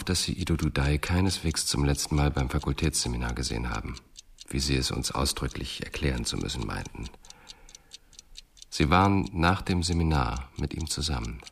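A man playing an intense, quietly insistent police investigator with deliberate, measured speech in a radio crime drama. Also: extremely low pitch, vocal fry, slightly rough, heavily acted.

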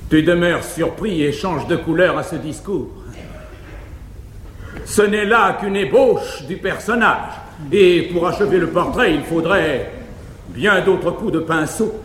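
An actor playing a garrulous, fearful but frank male valet, delivering quick, emphatic comic declamation on a 1950s live stage. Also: sits high, a bit bright, slight background noise. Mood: mocking, confiding. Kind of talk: classical verse-era stage acting. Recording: echoey 1950s live theatre recording, reverberant hall.

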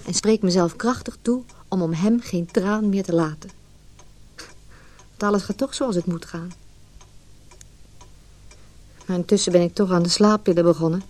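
A woman's voice: on the low side, normal speed, darkish tone, natural voice. An actress playing an anxious, lovelorn businesswoman, a married man's mistress, in an emotional Dutch radio play. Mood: brooding, tense. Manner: emotional, inner monologue and tense dialogue.

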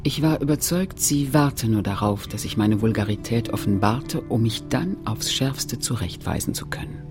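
An actress playing a woman recalling a shamed childhood, narrating a literary radio drama soberly and deliberately. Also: very deep voice, music in the background.

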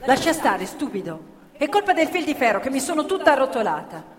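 A woman's voice: sits high, sing-song, fairly quick, a crowd in the background. An actress playing a blunt, practical little girl from a poor working-class family in live radio theatre. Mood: proud, defensive. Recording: hall reverb, live stage performance.

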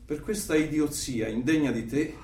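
An actor playing a coldly doctrinaire interrogator and torturer in a theatrical radio play, lecturing in a declamatory, menacing way. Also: male voice, a little high, moderate pace, close to an everyday voice. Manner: declamatory, lecturing, menacing, with sudden loud bursts. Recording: roomy, distant miking with background noise.

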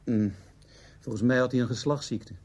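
A man's voice: mid-range voice, steady tone, moderate pace, darkish tone, lightly acted. A man acting in a radio play as a gossipy, evasive old student friend, grumbling, hesitant and defensive. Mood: speculating. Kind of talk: radio drama dialogue.

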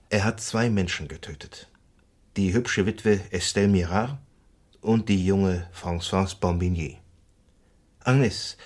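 A man acting a calm, considerate police commissioner in a radio crime drama, measured, soothing and matter-of-fact. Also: low-pitched, breathy edge. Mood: grave, factual.

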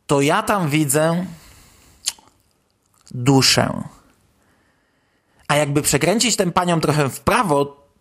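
A man's voice: fairly high pitch, a bit bright. A Polish man hosting a podcast, commenting on news in a conversational, wry way. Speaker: podcast host.

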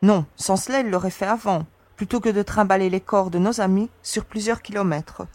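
Woman doing a clever young space explorer, calm and sure of herself, mid voice, dry close sound. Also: mid-range voice, brisk, no echo, close to an everyday voice. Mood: assured. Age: young adult. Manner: calm, assured and mildly teasing. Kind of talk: audio drama acting.

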